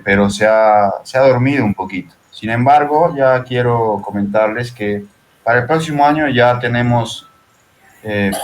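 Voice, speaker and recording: A Peruvian man speaks in a fairly low voice as a guest in a casual interview. He is reflective and often seeks agreement as he goes. There is faint noise behind him on the live-stream audio. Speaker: interview guest, poet and translator.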